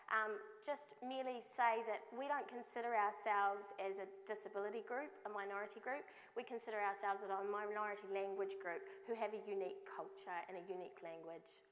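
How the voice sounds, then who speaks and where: A woman voicing a deaf speaker's signed talk as a live interpreter, measured and often restarting or correcting herself mid-phrase. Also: medium pitch. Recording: long hall reverb.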